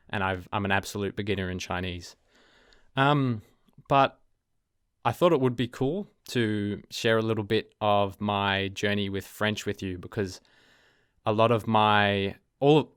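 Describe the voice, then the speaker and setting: A young Australian man hosting his own podcast, talking casually and thoughtfully, often pausing with ums and correcting himself as he goes. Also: lowish voice, a bit bright, clear, resonant tone, no echo, studio quality. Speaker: podcast host. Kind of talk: casual solo podcast talk.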